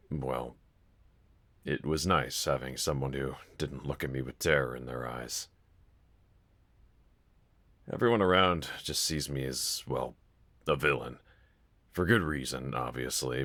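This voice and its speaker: A man's voice: rumbling low, sharp tone, no echo, heavily acted. A man voicing a wanted young male villain in a fan audio roleplay, talking to one listener in a casual, sardonic, teasing drawl. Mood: subdued, vulnerable.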